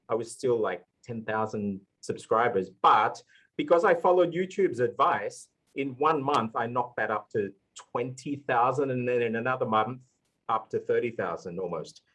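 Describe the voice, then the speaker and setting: A man's voice: mid-range voice, fairly bright tone, rough recording. A man speaking on a live conference panel, earnestly explaining his ideas with many fillers. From Australia. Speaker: panelist, language YouTuber.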